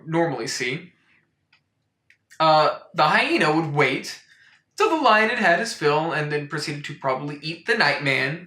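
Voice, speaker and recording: A man hosting a comic storytime in a mock-serious, deadpan way, rambling into incredulous asides. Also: on the high side, roomy sound. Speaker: host of a comic storytime show. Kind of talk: deadpan comedic picture book review.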